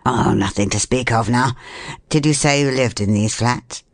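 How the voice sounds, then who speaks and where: A woman voicing a hard-worked gentleman journalist in a storybook, brusque and sensible yet courteous. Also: a bass voice, moderate pace, no echo, clean studio sound. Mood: matter-of-fact. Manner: brusque, courteous, matter-of-fact. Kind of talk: storybook character voice.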